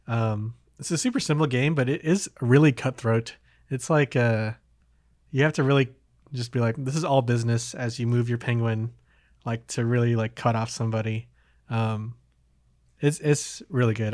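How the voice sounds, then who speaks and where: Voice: mid-range voice, darkish tone, no room sound, clean studio sound. A podcast host chatting casually, with hesitant, tentative phrasing.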